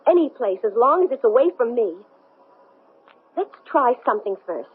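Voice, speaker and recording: Determined radio-play heroine, husky, anguished, dramatic, muffled narrow-band old broadcast. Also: female voice, on the high side, very animated, moderate pace. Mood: resolute. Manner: intense, dramatic. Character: determined, anguished woman possessed by an alien. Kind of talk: 1970s radio drama acting. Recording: muffled vintage radio recording.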